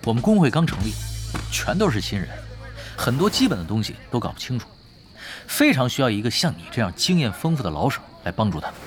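A man's voice: mid-range voice, very animated, quick pace, music in the background, close to an everyday voice. An actor playing a laid-back, teasing male guild leader from an online game, in a playful audio drama style. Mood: nonchalant, persuasive. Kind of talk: Chinese audio drama acting.

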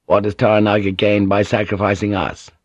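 A man's voice: fairly low pitch, little pitch movement, a little fast, slightly rough, no echo. A man voicing a blunt, probing, passionate foreign sailor, speaking directly and insistently in audiobook dialogue. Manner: direct, insistent, at times archaic phrasing.

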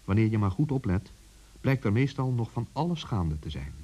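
Male children's storyteller: low, dark and husky, intimate, talking straight to the listener. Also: very animated, normal speed. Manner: intimate, addresses listeners and characters directly.

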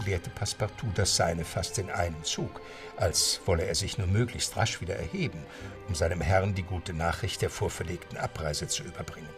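Deep-voiced storyteller, lively intonation. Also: male voice. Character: male storyteller narrating an adventure tale. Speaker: narrator. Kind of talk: scripted literary radio-play narration.

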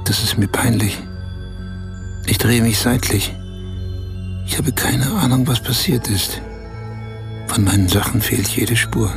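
An actor playing a man in a radio play who confides a strange, embarrassing adventure in short, halting phrases. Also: mid-range voice, music in the background, close to an everyday voice. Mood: embarrassed, confiding. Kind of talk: radio play, intimate first-person storytelling.

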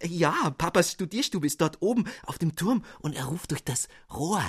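A man's voice: a little high, animated, moderate pace, slight background noise, voice pushed up. A man voicing a friendly, rosy-cheeked young country girl in a radio reading of a fairy tale, speaking with cheerful eagerness. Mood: explaining.

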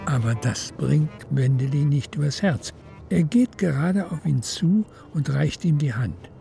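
A man's voice: on the high side, lively intonation, unhurried, gravelly, mellow, faint music behind. A man with pronunciation reading literary narration for a radio drama.

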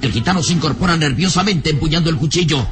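An actor as the storyteller of an adventure radio serial, narrating in a theatrical, suspenseful, carefully enunciated way. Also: male voice, a little high, fast, music in the background, lightly acted. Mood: tense.

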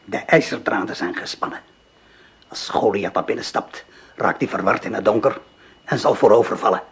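A husky, gravelly wartime plotter. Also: male voice, fairly low pitch, moderate pace. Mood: tense, conspiratorial. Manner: terse, probing, conspiratorial. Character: hard, wary resistance plotter leading an ambush. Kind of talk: radio drama acting.

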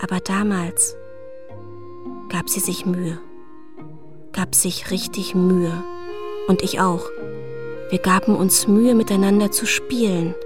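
A quietly observant, slighted young woman tells a radio play, acted by a woman. Her mid-pitched voice is wistful and intimate. She speaks in a measured way, leaving deliberate pauses. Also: fairly even intonation, over music, natural voice. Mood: wistful, resentful. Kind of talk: radio play narration and dialogue.

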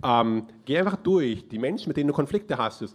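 A man with an Austrian accent in a mid-range voice interprets a sermon phrase by phrase. He speaks in a relaxed, casual way and often pauses on filler sounds as he works out each phrase. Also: medium pitch, husky. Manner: relaxed, with frequent "ähm" fillers.

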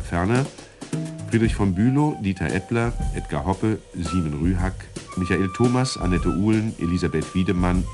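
A man announcing the cast and credits at the end of a radio drama in a formal manner. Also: low-pitched.

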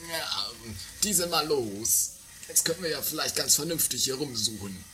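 A woman playing a sarcastic, excitable accomplice in a comedy radio play, sardonic and impatient. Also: rumbling low, normal speed. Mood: excited. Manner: sardonic, repeats words impatiently. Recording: very noisy lo-fi audio with clicks.

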